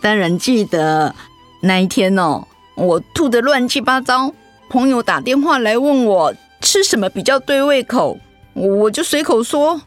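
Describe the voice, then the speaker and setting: An expressive, pouty Taiwanese wife. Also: female voice, fairly high pitch. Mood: grateful, reminiscing. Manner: pouting, questioning. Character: sensitive, pouty middle-aged wife, affectionate but easily hurt. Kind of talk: radio drama dialogue.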